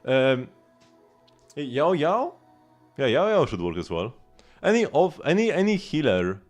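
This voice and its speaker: A man's voice: on the high side, big pitch swings, unhurried. A man live streaming a video game, chatting casually and informally as he rambles along and answers viewers. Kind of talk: casual gaming stream chat. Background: game music.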